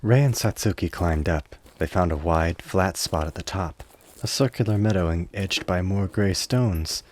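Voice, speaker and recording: A man narrating a scripted radio play in a measured, descriptive storytelling manner. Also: sits low.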